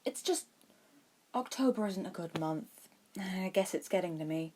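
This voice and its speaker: A woman's voice: lowish voice, animated, a little slow, darkish tone, slight background noise. A woman voicing a moody, sceptical young schoolboy with a dry manner in a story reading. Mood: apologetic. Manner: dry, subdued. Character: moody, sceptical eleven-year-old schoolboy.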